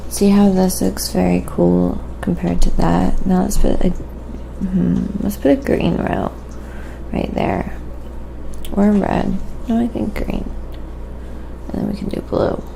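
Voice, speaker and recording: A woman with a very creaky, fry-laden voice talks casually to livestream viewers as she works. She takes her time in an unhurried, halting manner, thinking aloud. Clear noise sits behind her voice. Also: mid-range voice, fairly even intonation. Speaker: livestream host and painter. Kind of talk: casual livestream chat while painting, reading viewer comments.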